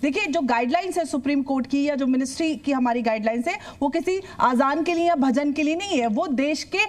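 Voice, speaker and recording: A woman's voice: sits high, a little fast, a little mellow. A woman debate panelist making a formal argument, mixing in some English words.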